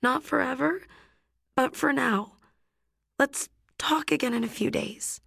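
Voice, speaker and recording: A woman voicing a soft, tearful teenage girl. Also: high voice, unhurried, creaky, slightly rough, no echo, studio quality, pitched above the performer's own voice, heavily acted. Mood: gentle, pleading. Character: gentle teenage girl, tender but resolute. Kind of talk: audiobook character voice.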